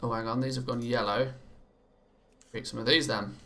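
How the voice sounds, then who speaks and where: A British man chats his way through a live gaming stream, his voice gravelly with fry. The tone is bright, and he keeps stumbling and restarting as he answers his viewers. Faint game music plays behind him. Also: mid-range voice, steady tone, normal speed. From Britain. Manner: chatty, reads out viewer comments and answers them; often stammers and restarts, laughs easily. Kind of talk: casual live-stream chat while gaming. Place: home.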